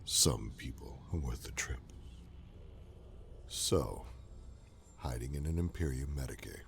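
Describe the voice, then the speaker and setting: A menacing chaos warrior, with an extremely deep, gravelly voice that swings widely. He is fanatical, volatile and theatrical, in a dark sci-fi audio drama. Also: lively intonation, slow, a little hiss or hum, full character voice. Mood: sinister.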